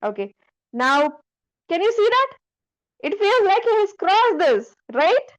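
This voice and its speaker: A woman instructor talking through a screen-share software tutorial step by step, often checking that the listener follows. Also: very high-pitched, big pitch swings, slow.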